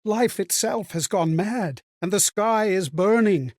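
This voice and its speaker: A man voicing a courteous, melancholy lost spirit in a video game, reflecting on memories in a measured, theatrical way. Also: high voice, expressive, a little mellow, dry recording, studio quality, full character voice. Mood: anguished. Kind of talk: dramatic video game monologue.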